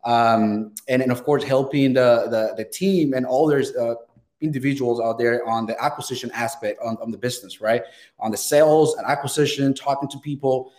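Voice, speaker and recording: A young man with a Venezuelan Spanish accent talks eagerly and casually as a podcast guest. He often restarts and repeats his words as he goes, at an ordinary, middle pitch. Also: moderate pace, a little mellow. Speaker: guest, real estate sales professional.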